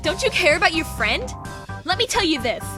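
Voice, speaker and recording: A woman's voice: very high voice, very animated, fairly quick. A woman playing a bossy, opinionated schoolgirl club leader in an anime-style audio drama, speaking assertively. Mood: scolding. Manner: assertive, declarative. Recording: music behind the voice.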